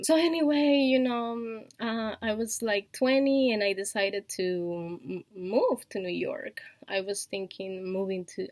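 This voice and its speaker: A woman from Colombia with a Colombian Spanish accent, a Spanish native speaker, talking in a casual personal vlog, hesitant and full of fillers and soft laughs. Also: mid-range voice, no echo. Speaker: vlogger and online shop owner.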